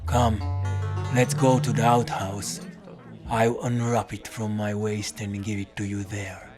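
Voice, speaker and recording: An actor playing a battered, deadpan, hard-drinking folk-hero detective who narrates his own audio drama. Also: fairly low pitch, a little slow, natural voice. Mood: blunt. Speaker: lead character and narrator. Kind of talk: first-person audio drama narration and dialogue. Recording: music under the voice.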